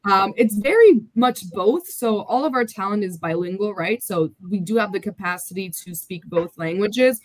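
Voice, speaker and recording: An American woman, a little under the weather, chats easily as an interview guest. Her voice sounds dry and close, with no room around it. She is upbeat and talkative, at a middle pitch. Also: lively intonation, normal speed, hardly any pauses, dry recording, rough recording. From United States. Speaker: podcast guest. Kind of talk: casual interview talk.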